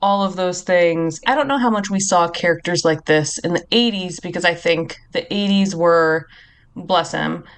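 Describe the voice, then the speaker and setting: A woman's voice: on the low side, moderate pace, clear, resonant tone. A woman in her thirties, a film critic guest on a podcast, talking casually in long reflective thoughts. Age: 30s.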